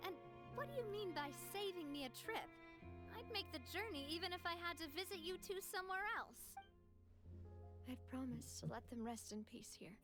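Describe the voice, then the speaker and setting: A woman's voice: high-pitched, fairly bright tone. A woman playing a sincere, polite young noblewoman who leads others, in a video game with music behind her. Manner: polite, earnest. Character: young noblewoman leader, sincere and grieving, resolute. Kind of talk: English game voice acting.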